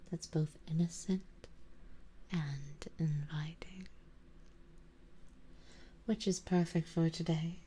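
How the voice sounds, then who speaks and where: A woman playing an elegant, doting, possessive vampire noble in an ASMR roleplay, in a soft, breathy, mostly whispered voice with a genteel, coaxing manner. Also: on the low side. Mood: composed, coaxing.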